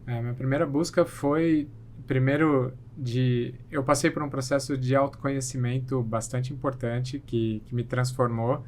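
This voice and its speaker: A man's voice: mid-range voice, steady tone, normal speed, a little mellow, slight background noise. A middle-aged Brazilian man answering conversationally as an interview guest, hesitantly rephrasing as he explains. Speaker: podcast guest, plastic surgeon.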